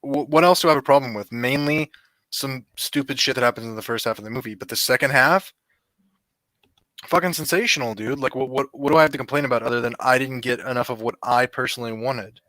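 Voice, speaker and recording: A man's voice: medium pitch, quick pace, bright, forceful tone, dry recording. A man with a mid-range voice recorded dry and close. He talks bluntly and swears freely in a casual film debate. He challenges the other speaker directly. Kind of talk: casual movie review debate.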